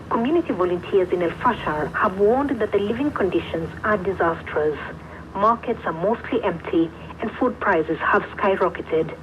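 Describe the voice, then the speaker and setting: A woman correspondent reading a scripted news report, heard over shortwave radio. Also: mid-range voice. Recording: muffled shortwave radio with light static. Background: faint radio static.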